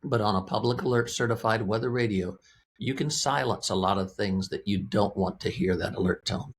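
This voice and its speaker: A man's voice: on the low side, normal speed, slight background noise. A man speaking as an interview guest, explaining a product in a plain-spoken, methodical, conversational way. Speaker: interview guest, weather radio company representative.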